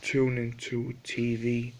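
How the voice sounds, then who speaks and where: A synthetic-sounding man delivers an emergency broadcast announcement. His pitch is average and barely moves. He reads the scripted warning very slowly, in a formal and impersonal way. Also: a little hiss or hum. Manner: flat, monotone, formal delivery.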